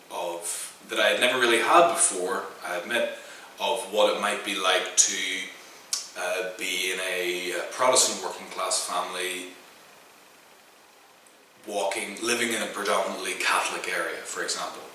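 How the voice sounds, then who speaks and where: A man from Belfast with a Northern Irish accent, speaking in a measured, thoughtful, slightly hesitant way. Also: sits low, fairly even intonation, sharp tone, slight background noise. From Belfast, Northern Ireland. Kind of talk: thoughtful documentary interview. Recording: very echoey room, distant mic.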